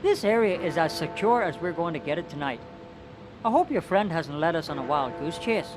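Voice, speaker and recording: An actor playing a sceptical, impatient police inspector with a wry, doubting manner in a film drama. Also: male voice, fairly high pitch, animated, fairly quick, music in the background, lightly acted, poor audio.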